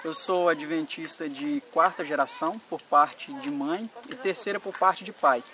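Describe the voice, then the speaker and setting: A man's voice: fairly high pitch, a little slow, crowd noise. A young man gives an earnest personal religious testimony, speaking unhurriedly with hesitations and restarts, recorded outdoors. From Brazil, born in Minas Gerais, raised in Espírito Santo. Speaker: church member giving a testimony. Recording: far from the mic, faint outdoor noise.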